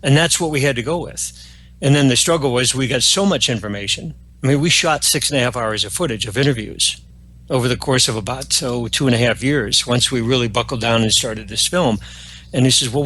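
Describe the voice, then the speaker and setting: A man guesting on a podcast, explaining his documentary work at length. His voice sits in an ordinary middle pitch. He stumbles through fillers and restarts his sentences often. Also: fairly bright tone. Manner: rambling with many fillers and restarts. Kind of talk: podcast interview.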